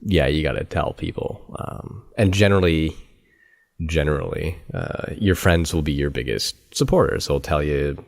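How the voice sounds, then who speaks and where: Deep-voiced male podcast host. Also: normal speed, gravelly. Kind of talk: casual interview chat.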